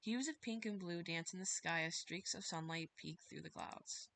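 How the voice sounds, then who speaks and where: A woman's voice: on the low side, normal speed, creaky, fairly bright tone, a little hiss or hum. A woman livestreaming a visual novel, chatting casually in a rambling, dramatic, easily spooked way and sometimes reading the story aloud. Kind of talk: casual game livestream commentary with some read-aloud narration.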